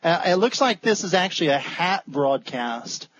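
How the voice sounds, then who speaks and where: A man's voice: a little high, big pitch swings, normal speed, a bit bright, slightly rough. A man chatting casually and joking on a late-night video call, sometimes stumbling over his words. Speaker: fellow speaker on a video call. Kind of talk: casual chat. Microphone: webcam on a video call. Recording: dull lo-fi video call audio.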